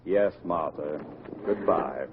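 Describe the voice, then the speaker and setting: An actor playing a pompous, long-winded middle-aged high school principal in a vintage radio sitcom. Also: male voice, slow pace, narrow, tinny audio. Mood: flustered. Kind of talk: 1940s-50s radio sitcom acting. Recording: vintage radio recording, hiss, studio audience.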